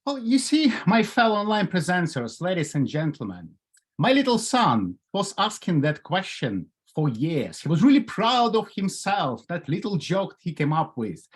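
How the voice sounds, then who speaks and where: A middle-aged man with a Ukrainian accent giving a humorous prepared speech over a video call, telling jokes with pauses. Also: sits high, husky. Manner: jokey, lively storytelling with pauses. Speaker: club speaker.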